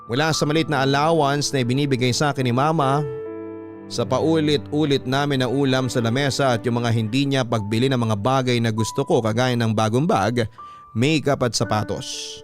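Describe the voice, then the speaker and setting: A man with a Filipino accent voicing a resentful, self-pitying student's personal story as measured, confiding radio drama narration. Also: mid-range voice, lightly acted. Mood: aggrieved.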